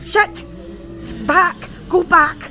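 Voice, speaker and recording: A woman playing a sarcastic, excitable, sweary zombie survivor in an audio drama. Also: extremely high pitch, drawn-out words, soft background music, heavily acted. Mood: alarmed.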